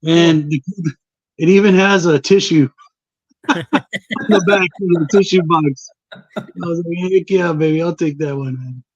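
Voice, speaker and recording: A man's voice: on the high side, unhurried, pauses often, no echo, highs cut off. A man from West Texas chatting casually about his comic and record collection, drawling and easygoing. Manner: laid-back, drawling, says "baby" and "man". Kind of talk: casual group chat on a livestream.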